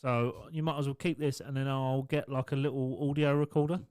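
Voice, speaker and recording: A man's voice: mid-range voice, level tone, fairly quick, darkish tone, smooth, no echo, studio quality. Sweary man telling a story. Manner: sweary, storytelling, laughs a lot. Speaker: podcast co-host. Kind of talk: casual chat. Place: home garage. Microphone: studio podcast mic.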